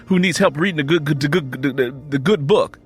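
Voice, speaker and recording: A man plays a stuttering young boy in an audiobook story, his voice pushed up high. He repeats sounds and words as he eagerly blurts out what he knows, over background music. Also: brisk, close to an everyday voice. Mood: eager, snickering. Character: groggy stuttering boy who tells everything he knows.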